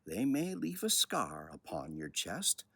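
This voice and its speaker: An older man with a husky voice, speaking expressively and without hurry like a children's author telling a story. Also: on the low side, wildly swooping pitch, measured pace, pushed and bright. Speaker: children's book author reading aloud. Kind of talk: personal commentary and storybook reading.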